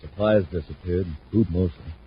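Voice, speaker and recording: Guy doing troubled american site boss in old radio serial, low voice, plain talker, bit of hiss. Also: normal speed, lightly acted. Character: worried, plain-spoken male construction superintendent. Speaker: radio drama actor. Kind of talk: 1950s radio adventure serial acting. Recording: muffled, faintly noisy vintage radio recording.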